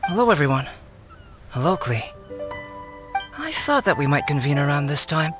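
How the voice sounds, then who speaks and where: A man voicing a calm, cerebral young alchemist in a video game, composed and politely wry. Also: on the high side. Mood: calm greeting. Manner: composed, measured phrasing, understated. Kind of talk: video game cutscene acting. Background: background music.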